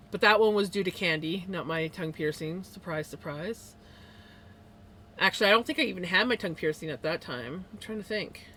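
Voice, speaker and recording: A woman's voice: lowish voice, fairly bright tone. A woman live streamer with a slight lisp, chatting casually and rambling to her viewers with many fillers and little laughs.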